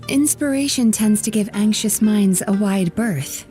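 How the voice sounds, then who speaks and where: A woman voicing a gentle young healer of dreams in a game, speaking softly, calmly and politely. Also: mid-range voice, measured pace, faint music behind, natural voice. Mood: soothing. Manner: soft-spoken, calm, polite. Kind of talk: game voice acting.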